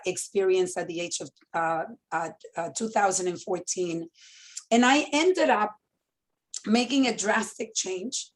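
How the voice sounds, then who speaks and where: A woman's voice: mid-range voice, rough recording. Woman in her fifties telling her story. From Dominican Republic. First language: Spanish. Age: 50s. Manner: open, animated storyteller with frequent fillers. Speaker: entrepreneur guest speaker. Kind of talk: fireside chat storytelling.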